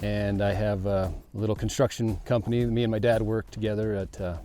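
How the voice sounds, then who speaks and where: Man, 30s, from south dakota, mellow low voice, hesitant intro to camera. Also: steady tone, a little fast, faint music behind. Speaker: contestant.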